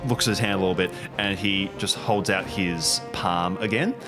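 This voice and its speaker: A man improvising narration as game master of a tabletop game, in a hesitant, halting way. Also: low voice, fairly even intonation, over music.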